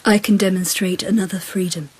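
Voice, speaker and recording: Breathy, softly commanding empress. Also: female voice, medium pitch, fairly even intonation. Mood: bold, exhilarated. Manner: soft, breathy, deliberate, often half-whispered. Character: gentle but commanding young Japanese empress, intimate and bold. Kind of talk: intimate radio drama acting.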